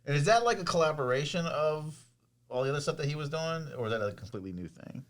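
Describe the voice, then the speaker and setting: A man's voice: fairly high pitch, lively intonation, fast talker. An American man in his forties hosting a podcast, chatting casually as he interviews guests. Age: 40s. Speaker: podcast host.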